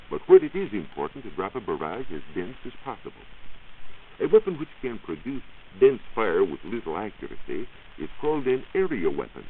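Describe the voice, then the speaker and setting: An American man giving a measured, explanatory science talk on 1940s radio as a reporter. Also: lowish voice, narrow, tinny audio. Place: radio studio. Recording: hissy band-limited 1940s radio recording.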